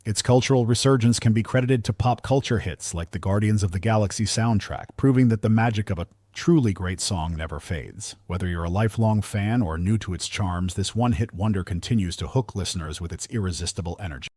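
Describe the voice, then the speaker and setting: A man reading scripted countdown narration in a polished, measured storytelling manner, close on the microphone. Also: on the low side, darkish tone, dry recording.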